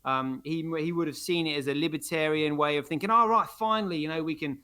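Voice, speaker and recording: A man's voice: fairly high pitch, quick pace, bright, forceful tone, clean tone. A man co-hosting a livestream, rambling with many fillers and restarts in casual chat. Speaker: livestream co-host.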